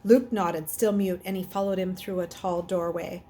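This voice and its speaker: A woman reading a children's novel aloud in an expressive way, occasionally stumbling and correcting herself. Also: fairly low pitch, moderate pace. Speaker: reader.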